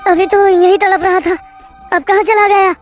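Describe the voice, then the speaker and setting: A woman voicing a frightened young male railway worker who pleads breathlessly in a high voice. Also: steady tone, racing, darkish tone, soft background music. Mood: panicked.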